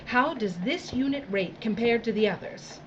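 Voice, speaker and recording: A woman's voice: fairly high pitch, animated, normal speed, muffled recording, a little hiss or hum, natural voice. An actress playing a domineering, sadistic female boss in an audio drama, with a condescending, mock-sweet, imperious drawl. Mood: toying, condescending. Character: domineering, theatrical, sadistic female boss of dystopian work crews. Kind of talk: theatrical audio drama acting.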